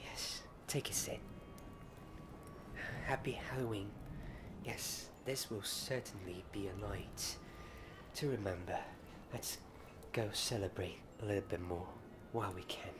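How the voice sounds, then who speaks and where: A man playing a welcoming wizard-school teacher in an ASMR roleplay, speaking softly and a little haltingly. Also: fairly low pitch, natural voice. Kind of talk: soft-spoken ASMR roleplay. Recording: clear ambient noise behind the voice.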